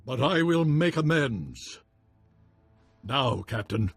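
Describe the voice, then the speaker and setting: An actor playing a proud, imperious baron in a video game, with a husky voice and grand formal delivery. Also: male voice, mid-range voice, lively intonation, slow pace, no echo, soft background music, exaggerated voice. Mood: contrite, formal.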